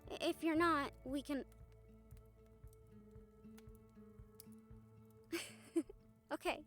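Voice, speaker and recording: A woman's voice: high-pitched, steady tone, a little slow, a bit bright, faint music behind. A woman playing a wry, caring young woman friend in a fantasy audio roleplay, speaking casually and hesitantly, as if to one listener. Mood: gentle, tentative. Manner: casual, hesitant, chuckling, mildly sweary. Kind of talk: audio roleplay acting, intimate one-sided conversation with listener.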